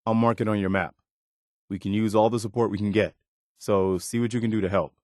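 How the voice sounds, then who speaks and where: A man's voice: fairly low pitch, fairly even intonation, fairly quick, a little mellow, no room sound, studio quality, lightly acted. A man playing an earnest, loyal militia officer in a video game, speaking sincerely and plainly with a sense of duty. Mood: concerned, earnest. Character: earnest, steadfast militia officer, loyal adult male companion. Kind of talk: acted video game dialogue.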